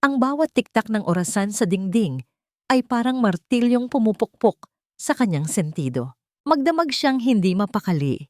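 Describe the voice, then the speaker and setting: A woman reading scripted dramatic fiction as a storyteller, in a measured, dramatic manner. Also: mid-range voice, sing-song, a little mellow, dry recording, clean studio sound. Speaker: story narrator.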